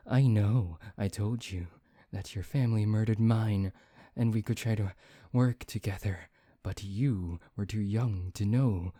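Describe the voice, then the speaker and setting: A man playing a brooding, taunting vampire in an ASMR roleplay, speaking in a theatrical, deliberate, teasing way. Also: on the low side, dark tone, dry recording, clean studio sound. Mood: reproachful. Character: brooding, taunting male vampire bent on revenge. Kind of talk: ASMR roleplay, soft dramatic acting.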